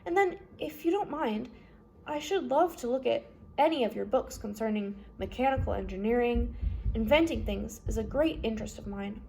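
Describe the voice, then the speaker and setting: A woman's voice: on the high side, lively intonation, normal speed, vocal fry, darkish tone, slight background noise. A woman voicing a well-mannered, inventive orphan girl in a storybook, courteous and earnest. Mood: eager, polite. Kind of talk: storybook character voice.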